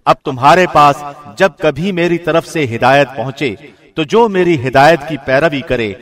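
A man reading a scripture translation from a script in a measured, formal announcer's delivery. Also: on the high side, fairly bright tone. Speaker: translation reader.

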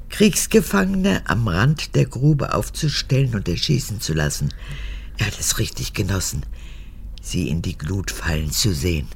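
An actress in a radio drama playing a manipulative, childish old woman in her eighties, an unrepentant former camp guard, with a husky, gravelly voice. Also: rumbling low, a little mellow, heavily acted. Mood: cold recounting. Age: 80s. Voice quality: husky, gravelly, often breaking into whispers. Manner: capricious, swinging between childlike pleading and cold recounting.

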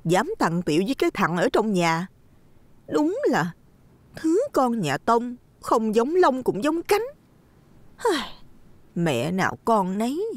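A woman's voice: fairly high pitch, big pitch swings, no echo. A woman voicing a spiteful, gossipy wife in an audio story, scornful and tattling. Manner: scornful tattling, drawn-out jibes. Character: flirtatious, gossiping, spiteful wife stirring trouble.

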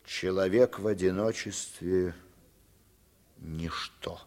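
A hunted German deserter. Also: male voice, low voice, slow. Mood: pleading. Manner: tense, hushed, dropping to whispers. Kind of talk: 1950s radio drama acting.

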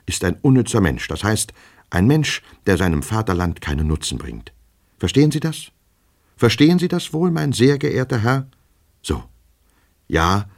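A man voicing an anxious, flustered gentleman from a storybook, agitated and repeating himself as he despairs. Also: lowish voice, vocal fry, voice pushed up, heavily acted. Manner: repetitive, stammering, agitated, talking to himself. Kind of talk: dramatic audiobook character reading.

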